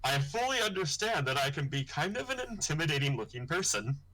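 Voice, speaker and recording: A man's voice: sing-song, brisk, bright, forceful tone, rough recording. A young man, a comic artist hosting his own livestream, talking thoughtfully and rambling a little with fillers. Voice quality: deep voice. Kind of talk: casual livestream chat.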